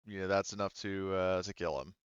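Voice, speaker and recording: A man acting as game master, narrating a tabletop roleplaying game in a casual, halting, sweary way. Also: sits low, steady tone, clear, resonant tone, no room sound, dull audio.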